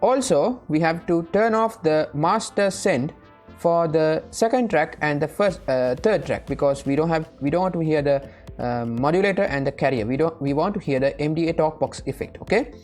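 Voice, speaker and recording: A woman with a low voice presents a casual, step-by-step software tutorial. She sounds a little hesitant and often seeks confirmation. Faint music sits behind her speech. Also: lively intonation, moderate pace.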